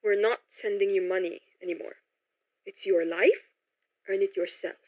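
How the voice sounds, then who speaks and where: A woman's voice: mid-range voice, steady tone, normal speed, no echo, lightly acted. A woman playing a stern, dismissive mother in a motion comic, scolding curtly, with a dull, muffled sound. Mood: cold, scolding. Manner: scolding, curt.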